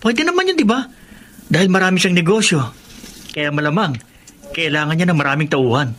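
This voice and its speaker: An actor playing an earnest, hopeful young college student and older brother in a Filipino radio drama. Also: male voice, on the high side, animated, brisk, a bit bright. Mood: hopeful, eager.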